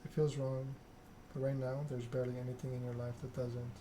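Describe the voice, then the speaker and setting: A man reads narrative game text aloud as ASMR. His delivery is flat and deliberate, broken into short phrases. Clear background noise and clicking sit behind the voice. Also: medium pitch. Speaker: video game streamer reading a story game aloud.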